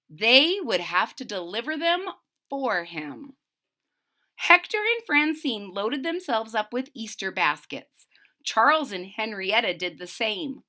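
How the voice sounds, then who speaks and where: Female storyteller for young children; fairly high, unhurried, expressive picture-book delivery. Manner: deliberate, expressive, child-directed. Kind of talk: expressive read-aloud with simple recaps.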